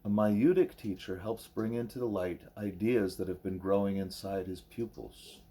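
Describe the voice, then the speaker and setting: Audiobook gorilla mentor, played by a man: patient, wise, formal, probing, with a low, raspy-edged voice. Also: dark tone. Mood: patient, instructive. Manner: measured, probing, formal diction. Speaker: teacher.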